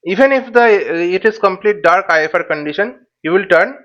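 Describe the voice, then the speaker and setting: A man giving an informal step-by-step tutorial as an instructor, speaking haltingly and repeating his words and phrases. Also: high-pitched, expressive, fairly bright tone. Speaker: flight simulation instructor. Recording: dull, lo-fi microphone sound.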